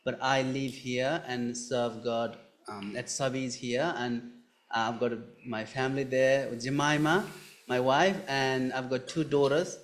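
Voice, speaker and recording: A man from Nepal with a Nepali accent, telling his personal story in a live interview in an earnest, halting way, repeating phrases. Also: mid-range voice, fairly even intonation. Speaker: guest giving his testimony.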